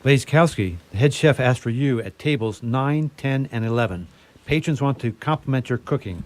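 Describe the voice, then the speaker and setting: Man playing a testy, scheming crook, swooping pitch. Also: expressive, normal speed, a little mellow, lightly acted. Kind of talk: radio theatre acting.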